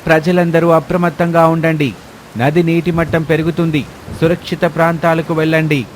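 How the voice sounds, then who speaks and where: A man's voice: on the high side, little pitch movement, moderate pace, slight background noise, voice pushed up, lightly acted. A man voicing an urgent village public announcer in a story, formal and emphatic.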